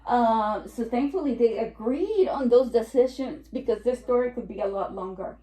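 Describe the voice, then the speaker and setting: A woman in her forties with a Puerto Rican accent gives a seminar talk as an estate planning attorney, chatty and given to joking asides. Her voice sits a little high and carries the echo of a roomy meeting room. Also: normal speed, warm tone. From New York. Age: 40s. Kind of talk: seminar presentation.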